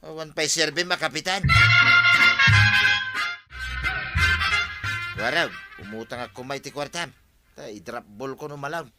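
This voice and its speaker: An actor playing an insistent gambler in an audio drama, whining and pressing to borrow money. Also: male voice, a little high, fairly quick, over loud music, close to an everyday voice. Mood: insistent, peeved. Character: wheedling gambler pestering his friend for a loan. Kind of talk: Ilocano radio-style audio drama acting.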